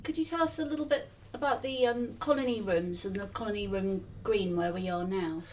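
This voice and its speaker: A woman's voice: mid-range voice, a little fast. A woman hosting an interview, giving a scripted introduction and putting questions to her guest. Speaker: podcast interviewer. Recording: distant mic with faint background noise.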